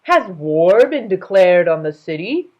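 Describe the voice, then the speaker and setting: A playful, commanding storybook king, as a woman reader puts him on, speaks with regal decisiveness and an amused edge. The delivery is emphatic and swings widely in pitch, with a husky grain and a somewhat dull recording. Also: very animated, unhurried. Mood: indignant, booming.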